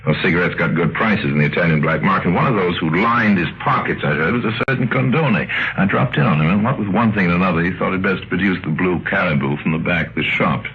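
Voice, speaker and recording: Deep-voiced worldly adventurer, vintage radio. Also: male voice, fast talker, hardly any pauses, slight background noise. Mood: wry, reflective. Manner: wry storytelling. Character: Harry Lime, worldly, wry male adventurer telling his tale. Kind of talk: 1950s radio drama, first-person narration. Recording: muffled vintage radio recording, music behind.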